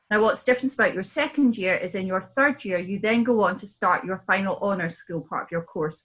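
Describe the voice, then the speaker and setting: A middle-aged woman, a medical tutor, explaining a course in a measured way. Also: mid-range voice, fairly bright tone. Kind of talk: virtual open day presentation.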